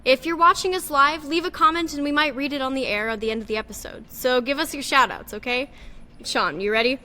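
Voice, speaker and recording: A young woman from Texas co-hosting a scripted show, upbeat and energetic. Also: high voice, brisk, long reverb tail. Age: young adult. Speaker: youth co-host.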